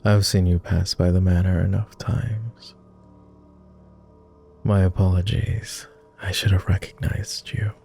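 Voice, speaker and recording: A man playing a weary, courteous immortal vampire in intimate ASMR roleplay, speaking softly in formal, hesitant phrases. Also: sits low, level tone, creaky, faint music behind. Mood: puzzled, softening. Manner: formal, measured, hesitant with pauses. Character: weary, reserved, self-loathing male vampire, immortal, courteous.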